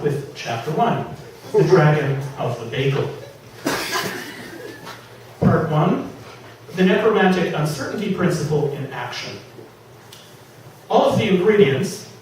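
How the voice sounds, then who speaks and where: A man's voice: fairly high pitch, a little mellow. A man, an author giving a theatrical, emphatic live reading of comic fantasy fiction. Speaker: author reading from own novel. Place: public reading at an indoor venue. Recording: echoey room from far off, faint noise.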